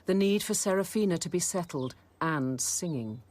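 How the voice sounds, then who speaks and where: Convent apothecary nun for radio drama, female actor: lowish voice, guarded, formal, conscientious. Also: animated, moderate pace, a little mellow, natural voice. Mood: brooding narration. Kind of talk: radio drama acting and narration.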